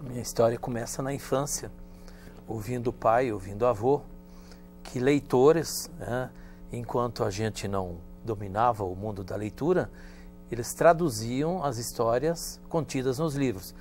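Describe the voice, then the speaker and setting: A man, a professor whose first language is German, telling childhood stories in a measured, storytelling way during a studio interview. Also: mid-range voice, soft background music. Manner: measured and storytelling, with lively emphasis. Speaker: guest professor.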